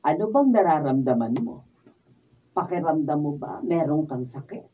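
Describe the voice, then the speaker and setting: A woman's voice: low-pitched, animated, normal speed, breathy edge. A woman playing an apologetic, evasive older female relative in a Filipino radio drama, with a Filipino accent. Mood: concerned. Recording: dull, distant mic.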